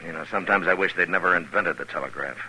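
An actor playing a steady, weary Old West lawman in a 1950s radio western, plainspoken and measured. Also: low voice, breathy edge, exaggerated voice, poor audio. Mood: dry, concerned.

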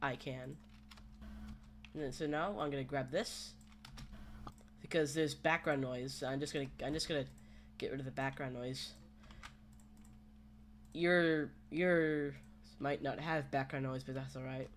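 A man hosting a casual software tutorial, talking in a hesitant, rambling way. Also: medium pitch, fairly even intonation, a little slow, long pauses. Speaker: tutorial host. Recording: home microphone with background noise.

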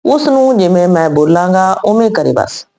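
A woman's voice: lowish voice, lively intonation, moderate pace, gravelly, warm tone. A woman storyteller voicing an arrogant, controlling young bridegroom who dictates his terms. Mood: arrogant, dictating.

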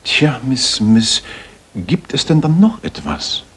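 Gentleman sleuth, radio play, raspy, lively intonation, commanding, inquisitive, dry wit. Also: medium pitch, slow, heavily acted. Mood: thoughtful, probing. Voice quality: husky. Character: shrewd, probing Victorian gentleman detective. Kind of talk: dramatic German radio play acting.

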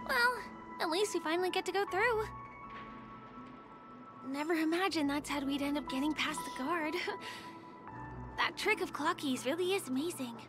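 Casting: young female game heroine, high-pitched, theatrical, very expressive, music underneath. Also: measured pace, pauses often. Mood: bright, upbeat. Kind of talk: video game voice acting.

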